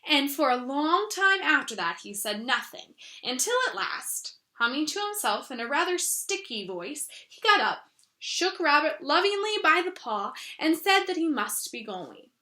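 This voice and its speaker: A woman reading a children's story aloud with an expressive manner and soft asides. Her voice is high and bright. The room gives a noticeable echo. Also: measured pace. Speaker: storybook reader.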